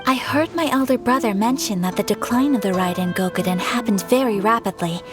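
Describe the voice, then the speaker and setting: A woman playing a poised, courteous young noblewoman in a video game, speaking in a formal, measured, polite way. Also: mid-range voice, natural voice. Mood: composed, explanatory. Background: music.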